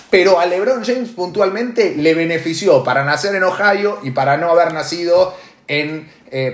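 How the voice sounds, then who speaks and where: Male podcast host, Argentine accent, opinionated and emphatic casual delivery, echoing room sound. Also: fairly high pitch. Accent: Argentine Spanish. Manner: emphatic, repeats phrases for stress. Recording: echoey room.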